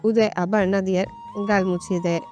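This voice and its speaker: A woman tells a romantic story in scripted, dramatic narration. Her voice sits at a middle pitch, with music playing underneath. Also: fairly even intonation, brisk, darkish tone, highs cut off.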